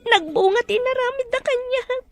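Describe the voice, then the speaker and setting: Woman acting a high-voiced, sorrowful young mother-to-be. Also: moderate pace, soft background music. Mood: sorrowful, tearful. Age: young adult. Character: distressed young pregnant woman, fearful and sorrowful. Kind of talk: Ilocano audio drama acting.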